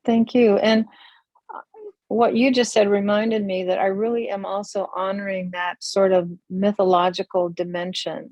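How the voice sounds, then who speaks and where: An older woman and community elder, speaking reflectively on a video call from a room that looks onto a forest, with many pauses and restarts. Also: mid-range voice, flat delivery, a little mellow, dry recording, poor audio. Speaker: interview guest, community elder.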